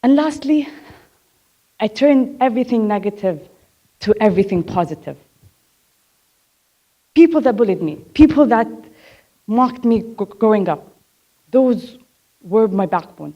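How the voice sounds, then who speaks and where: A young woman with a somewhat high voice talks earnestly about her life, often stuttering and repeating the starts of words. She speaks openly and candidly as she tells her story from a stage. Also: expressive, unhurried, pauses often, highs cut off. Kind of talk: personal talk on stage. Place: on a stage before an audience.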